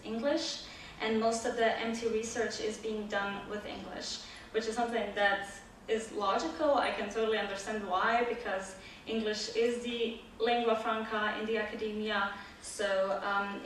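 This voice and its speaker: A woman from Croatia, a native Croatian speaker and PhD student, giving a measured academic conference talk with frequent "uh" fillers. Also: a little high, a bit bright, slight background noise. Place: large lecture hall. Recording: echoey hall audio from far off.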